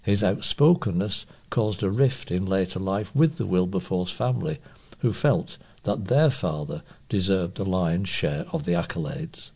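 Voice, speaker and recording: A man with a British accent and a husky voice, reading feature articles aloud as a volunteer newsreader. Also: low voice, expressive, a little slow, dark tone. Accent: British English.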